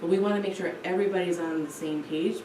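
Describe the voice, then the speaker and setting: A woman's voice: on the low side, fairly even intonation, fast talker. An American woman giving coaching feedback as a teacher educator, often checking in with "right?". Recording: roomy, distant mic, clear background noise.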